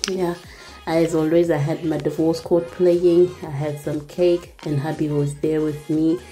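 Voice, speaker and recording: A woman's voice: deep voice, flat delivery, measured pace. A young woman vlogger chatting casually in a voice-over, easygoing and laughing often. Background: soft background music.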